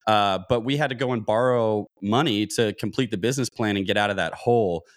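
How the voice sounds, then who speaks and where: Guy in his 30s, lowish voice, podcast guest talking it through, restarts his sentences a lot. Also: a little fast. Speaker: podcast guest, real estate investor. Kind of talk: conversational interview explanation.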